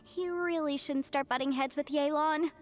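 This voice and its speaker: Woman as a high-voiced, earnest young female peacemaker. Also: steady tone, normal speed, natural voice. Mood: anxious, pleading. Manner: polite, earnest, fretful.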